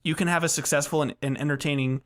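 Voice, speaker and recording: A man's voice: fairly high pitch, fairly even intonation, quick pace, a bit bright. A man hosting a casual gaming podcast, chatting in a jokey, loose way. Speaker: podcast host. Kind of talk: casual podcast chat about video games.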